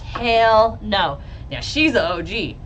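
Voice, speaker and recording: A woman lawyer with a loud voice, hosting a live stream about a trial and reading chat questions. She talks bluntly, swears freely and laughs often. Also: mid-range voice, animated, slow pace, a little hiss or hum, poor audio. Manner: blunt and profane, with frequent laughs. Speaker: lawyer and legal commentator.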